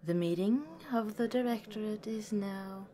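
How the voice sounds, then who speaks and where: A woman voicing a calm, caring woman scientist director in an ASMR roleplay, speaking gently and politely in a soft, whispery way. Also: medium pitch, a little slow, a crowd in the background, natural voice. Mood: formal, businesslike.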